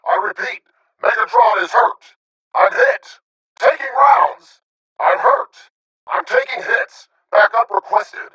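Video game robot soldier, combative, commanding, clipped combat callouts, sharp forceful voice, dull distant echoey sound. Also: male voice, high-pitched, animated, unhurried, full character voice. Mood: aggressive. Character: male robot sniper soldier, disciplined, confident, combative. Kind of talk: military radio-style combat callouts.

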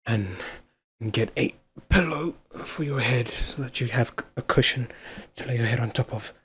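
A man playing a devoted, affectionate husband in an intimate audio roleplay, with a husky voice. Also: medium pitch, normal speed, a bit bright. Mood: anxious, soothing. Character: devoted, affectionate husband, playful then anxiously caring. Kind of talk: intimate ASMR boyfriend-style roleplay acting.

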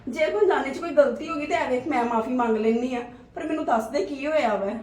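A woman's voice: high voice, brisk, echoey room, far from the mic, a little hiss or hum, lightly acted. A woman playing a patient, soothing sister-in-law. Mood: placating. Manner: gentle, placating, reasoning. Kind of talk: family drama skit.

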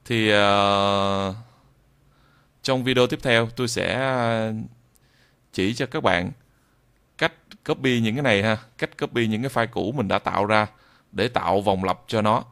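A man giving an unscripted software tutorial in a hesitant, halting way, often repeating phrases. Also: fairly low pitch, clear, resonant tone. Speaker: video tutorial presenter.

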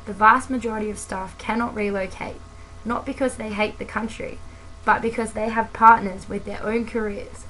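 An Australian woman narrating a scripted advocacy piece in a measured, formal, earnest way. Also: medium pitch, slight background noise, poor audio. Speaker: campaign video narrator.

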